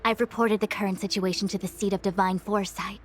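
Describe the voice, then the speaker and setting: A woman's voice: mid-range voice, fairly even intonation, quick pace, faint music behind, lightly acted. Woman acting a composed, commanding official. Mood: resolute. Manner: formal, resolute, authoritative. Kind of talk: video game voice acting.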